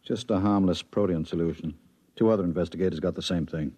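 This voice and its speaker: A man playing a steady bureau chief giving a briefing, in 1950s radio drama style. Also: sits low, quick pace. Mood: matter-of-fact. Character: steady male bureau chief briefing his trusted investigator. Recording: muffled vintage 1950s radio recording.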